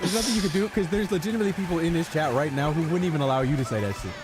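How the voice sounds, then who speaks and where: A man's voice: a little high, very fast. A man teasing his friend in profane, casual banter while they play a game on stream. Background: game music.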